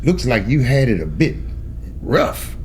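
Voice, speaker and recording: An actor in a table read playing a friendly, plain-spoken truck driver who talks in a casual, halting way. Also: male voice, mid-range voice, very animated, slight background noise. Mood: concerned, apologetic.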